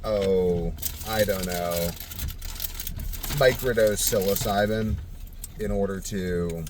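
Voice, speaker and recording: Low-voiced man rambling on a vlog. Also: slow pace, background noise. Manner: rambling, thinking aloud. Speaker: vlogger. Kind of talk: casual off-the-cuff video diary.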